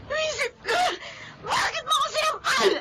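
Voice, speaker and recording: An actress playing a capricious, unfaithful wife in a Filipino radio drama, with a Filipino accent and theatrical emotion. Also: female voice, very high-pitched, lively intonation, moderate pace, raised voice, noisy background. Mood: outraged. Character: capricious unfaithful wife, defiant then pleading.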